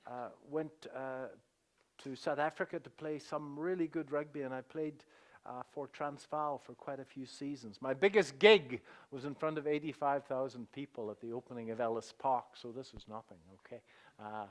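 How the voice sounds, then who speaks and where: A middle-aged man with a Northern Irish accent presenting a live seminar to a conference audience, chatty and joking, with frequent "uh" fillers and quiet asides. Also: medium pitch, moderate pace. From England.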